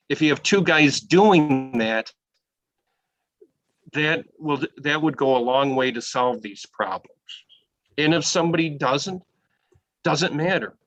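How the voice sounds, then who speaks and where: A man's voice: mid-range voice, a bit bright, rough recording. Chatty American man answering questions. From United States. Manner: conversational, restarts sentences, often checks in with "okay?". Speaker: guest lecturer answering questions. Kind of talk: unscripted lecture answer.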